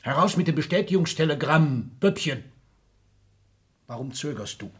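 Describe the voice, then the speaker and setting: An actor playing a raging, gloating old tycoon father in a theatrical 1960s German radio play, ranting from gasps to shouts. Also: on the high side, animated, moderate pace, natural voice. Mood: gloating, mocking. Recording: echoey room, distant from microphone.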